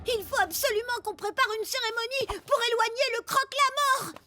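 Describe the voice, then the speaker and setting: A woman voicing an excitable, superstitious young prehistoric boy in a French cartoon, speaking in a dramatic, exclamatory way. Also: extremely high pitch, a little fast, dry recording. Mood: fearful, earnest. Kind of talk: French animated cartoon dubbing.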